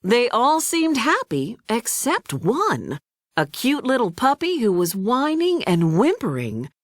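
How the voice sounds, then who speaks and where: A woman narrating a children's read-along storybook in an expressive, deliberate storytelling manner. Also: on the high side, no echo, clean studio sound.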